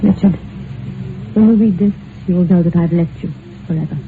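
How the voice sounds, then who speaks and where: A proud, unhappy young British wife, played by an actress, speaks with genteel poise and arch, wounded irony. It is old radio drama, heard through a thin, tinny band with light background noise. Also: female voice, lowish voice, brisk, close to an everyday voice. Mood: solemn, resolute. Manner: genteel, poised, archly ironic. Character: proud, unhappy young wife of a rich husband, wistful and cutting.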